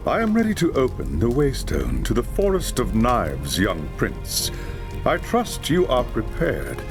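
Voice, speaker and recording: A man playing a grave, cautious high elf lore master in a fantasy game, speaking in a solemn, deliberate and formal way. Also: huge pitch swings. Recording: music behind the voice.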